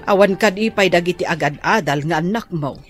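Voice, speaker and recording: A woman's voice: fairly low pitch, a little fast, faint music behind, lightly acted. An actress playing a concerned older village woman who questions what she hears. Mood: doubtful. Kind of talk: radio drama acting.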